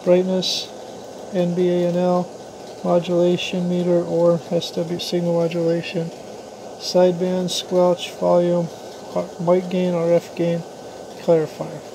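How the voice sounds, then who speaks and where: A man's voice: high voice, unhurried. An American man giving a casual, matter-of-fact product demonstration in short, plain-spoken phrases. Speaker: radio hobbyist presenter. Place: small indoor room at a radio bench. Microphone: handheld camera or phone mic. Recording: clear steady background hiss and hum.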